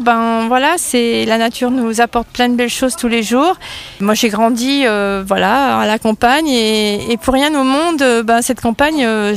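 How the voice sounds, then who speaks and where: A woman's voice: on the high side, slight background noise. A woman in her fifties, interviewed on local radio, talking eagerly and rambling with many fillers. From France. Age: 50s. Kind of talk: casual interview.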